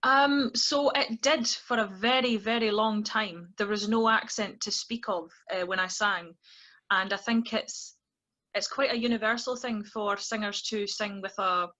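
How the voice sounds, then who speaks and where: A woman with a Scottish accent, a guest chatting casually and easygoingly, laughing softly at herself. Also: medium pitch, steady tone, measured pace. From Scotland. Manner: soft laughs, easygoing and self-deprecating. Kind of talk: casual interview chat.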